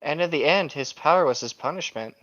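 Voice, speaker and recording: A man's voice: on the high side, expressive, fairly quick, a bit bright, no echo. A man co-hosting a casual podcast, wry and blunt, reading and bantering on a somewhat lo-fi microphone. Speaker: podcast co-host. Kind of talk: casual banter and reading a novel aloud with asides.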